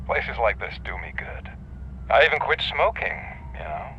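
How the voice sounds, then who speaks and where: A smug, whispering prison mastermind. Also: male voice, mid-range voice, very animated, moderate pace, lo-fi sound, slight background noise. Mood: sly. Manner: soft, insinuating, often half-whispered. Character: scheming imprisoned male mastermind, smug and cryptic. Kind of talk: video game cutscene dialogue.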